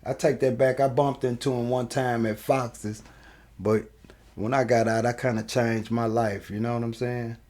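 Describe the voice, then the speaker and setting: A man's voice: medium pitch, little pitch movement, normal speed, a little mellow. A middle-aged man, talking informally and in slang as an interview guest looking back on his life. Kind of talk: casual reminiscing chat.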